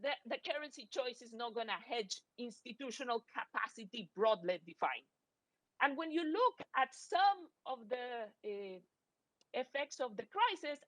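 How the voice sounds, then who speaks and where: High-voiced woman from Costa Rica, close and dry. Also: a bit bright, dry recording, rough recording. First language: Spanish. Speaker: academic economist, panel speaker. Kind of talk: conference presentation.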